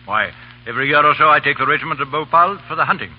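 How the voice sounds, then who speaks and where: An actor playing a stern, commanding army colonel with clipped military speech in a 1930s radio drama. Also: male voice, a little high, rapid-fire, breathy edge. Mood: assured. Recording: dull, hissy 1930s radio recording.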